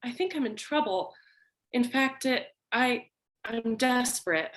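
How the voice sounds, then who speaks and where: A hesitant damsel in trouble played by a woman, from a noir stage mystery. She speaks very slowly in a high voice, halting and pleading. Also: animated. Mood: desperate, timid. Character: desperate, hesitant female client, noir dame in trouble. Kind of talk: film noir stage dialogue.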